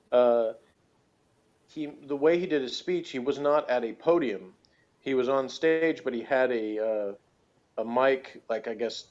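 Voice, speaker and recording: A man's voice: medium pitch, a little mellow. Rambling man on a dull lo-fi recording. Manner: hesitant, rambling, circling back over phrases. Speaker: podcast co-host reviewing a TV show. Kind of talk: casual unscripted discussion.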